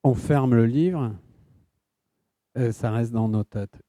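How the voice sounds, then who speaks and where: A man with a Polish accent, an author answering audience questions in a halting way, often stopping to search for words. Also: medium pitch, dark tone, dry recording, clean studio sound. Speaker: guest author.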